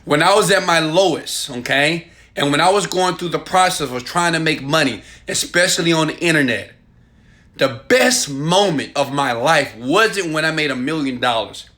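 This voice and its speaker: A man giving an emphatic, insistent motivational sales pitch, speaking straight to the viewer as an online marketer. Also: moderate pace, pushed and bright.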